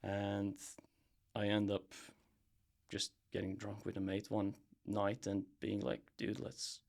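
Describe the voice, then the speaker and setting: A young man from Serbia talks casually as a podcast guest, rambling and restarting with plenty of fillers. His voice sits low with a gravelly edge, and his delivery stays flat. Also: takes it slowly. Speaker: podcast guest, brand founder. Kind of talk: casual interview chat.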